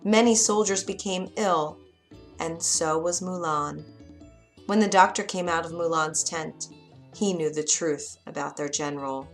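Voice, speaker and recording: A woman narrating a children's bedtime story with a clear, expressive reading. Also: fairly low pitch, measured pace, soft background music.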